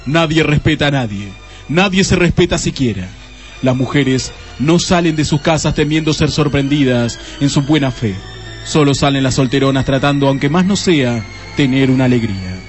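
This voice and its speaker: A man's voice: medium pitch, normal speed, soft background music, lightly acted. Man as a mock-solemn Argentine radio play narrator. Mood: grave, portentous. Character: grave, melodramatic male radio play narrator. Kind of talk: parody radio drama narration.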